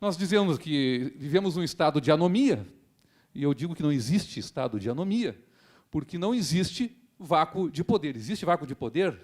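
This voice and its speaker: Earnest prosecutor giving a speech. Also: male voice, fairly high pitch, very animated, moderate pace, a little mellow. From Rio Grande do Sul, Brazil. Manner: earnest rhetorical speaker, frequent tag questions. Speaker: public prosecutor. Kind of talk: formal speech at a seminar.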